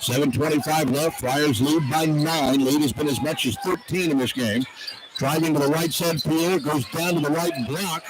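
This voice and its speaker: A man's voice: on the high side, steady tone, normal speed. A man calling live basketball play-by-play for radio from a large indoor arena. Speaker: play-by-play announcer. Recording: very noisy live arena broadcast. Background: loud arena crowd noise.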